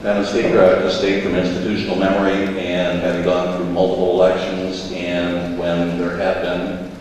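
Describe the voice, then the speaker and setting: A man, a state representative, speaking slowly and deliberately about procedure. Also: low voice, monotone, a little mellow. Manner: slow, deliberate, citing rules. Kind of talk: measured procedural remarks. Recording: echoey chamber, distant mic, faint noise.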